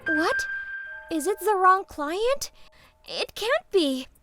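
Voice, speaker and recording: A cheeky young female office junior, played by a woman, sure of herself and acted in manga dub style. Her voice sits high. Faint music runs underneath. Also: big pitch swings, takes it slowly, fairly bright tone, full character voice. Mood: panicked. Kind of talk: English manga dub acting.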